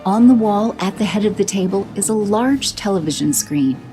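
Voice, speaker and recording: A woman giving steady scripted narration for an audio drama. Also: medium pitch, normal speed, music in the background. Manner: steady, descriptive.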